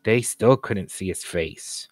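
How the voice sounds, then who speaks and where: A man's voice: lowish voice, slow pace, no echo, soft background music. A man narrating a children's storybook aloud as a scripted reading. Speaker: storybook reader.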